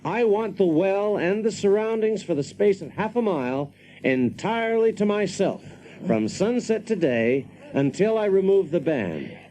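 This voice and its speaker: A man's voice: high voice, big pitch swings, measured pace, dark tone, slight background noise, rough recording. A man playing a brash, wry engineer in a radio drama, telling his story with dry, folksy self-assurance. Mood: coolly calculating. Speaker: protagonist and narrator. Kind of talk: dramatized first-person narration, radio drama.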